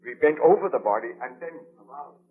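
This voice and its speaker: A muffled old radio recording carries a haunted, impassioned scientist baron. His delivery is theatrical and formal, swinging widely in pitch in the 1930s radio drama manner. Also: animated, a little fast, husky. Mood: grave, recollecting.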